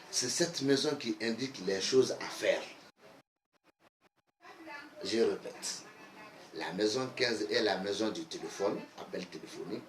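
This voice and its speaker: A man with a West African accent, a native Bambara speaker, explaining like a teacher in a deliberate, emphatic way with repetition. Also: lowish voice. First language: Bambara (Bamanankan). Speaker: geomancy teacher, online video host. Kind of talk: instructional explanation. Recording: echoey room, faint background noise.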